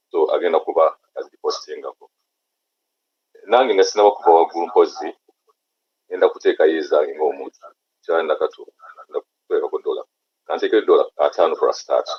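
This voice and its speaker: A very low, husky-voiced man with a Ugandan accent speaks haltingly on a video call. He is a chapter leader whose first language is Luganda, and he moves between English and Luganda. The call audio sounds dull and lo-fi. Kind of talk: online meeting remarks.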